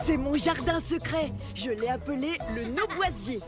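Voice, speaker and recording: A woman playing a cheeky, friendly young boy in a French animated children's series, expressive and childlike. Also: high-pitched, normal speed, over music. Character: friendly, spirited prehistoric boy, a big eater.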